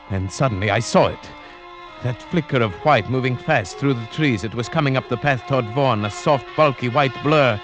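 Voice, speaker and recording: A very low-pitched male hunter played by an actor recounts his dread in measured, formal phrases. It is vintage radio horror, with prominent music under the suspenseful narration. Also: close to an everyday voice. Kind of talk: old-time radio horror drama narration and acting.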